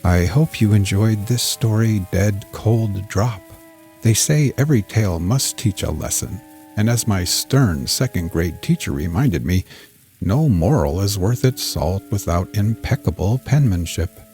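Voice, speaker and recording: A man narrating a scripted story as a fiction podcast host, with a measured storytelling delivery. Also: fairly low pitch, lively intonation, mellow, soft background music.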